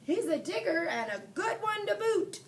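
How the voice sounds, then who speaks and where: A woman's voice: expressive, a little slow. A woman reading a storybook aloud, voicing a blustering male pirate captain who bellows his lines. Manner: bellowing, booming exclamations. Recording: distant mic, faint background noise.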